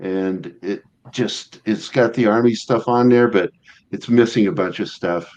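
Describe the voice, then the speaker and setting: Blunt low-voiced man, lo-fi sound. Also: unhurried, darkish tone. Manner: blunt, swearing, laughs often. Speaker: panelist. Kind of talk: casual chat on a livestream. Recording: dull lo-fi audio.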